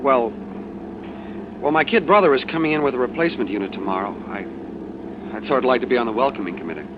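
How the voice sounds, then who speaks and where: An actor playing an eager but anxious young American sergeant in an old-time radio drama, respectful and a little hesitant. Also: male voice, mid-range voice, fast, close to an everyday voice. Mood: uneasy. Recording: dull vintage radio, engine noise.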